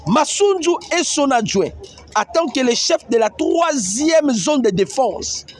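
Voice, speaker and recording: A Congolese man talks through the political news with emphatic energy. His voice sits high and leaps around, and he hammers key phrases again and again. He blends French and Lingala as he builds his case. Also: sing-song, measured pace, soft background music. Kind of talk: animated political commentary.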